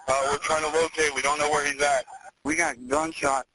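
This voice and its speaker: A man's voice: fairly high pitch, little pitch movement, a little fast. Policeman on noisy radio. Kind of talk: police radio dispatch traffic.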